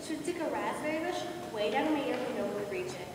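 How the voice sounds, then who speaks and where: Female performer as fast-talking exasperated young girl. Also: on the high side, lively intonation, natural voice. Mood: aggrieved. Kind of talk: amateur readers theater skit. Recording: distant echoey hall, loud background noise.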